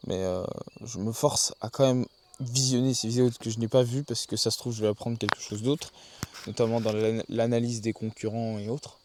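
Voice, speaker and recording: A man's voice: medium pitch, steady tone, moderate pace, noisy background. A man keeping a casual spoken diary outdoors in the countryside, thinking aloud and often restarting his sentences. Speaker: video journal keeper.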